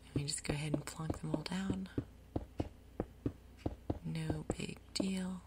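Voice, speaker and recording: A woman giving soft, breathy, whispered ASMR commentary on a game, murmuring her thoughts aloud in an unhurried way. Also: deep voice, fairly even intonation, heavy background noise. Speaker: gaming video host.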